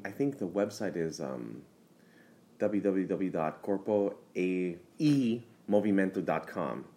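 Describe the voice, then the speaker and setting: A man co-hosting a casual podcast, relaxed and trailing off into a low murmur. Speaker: podcast co-host. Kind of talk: casual chat.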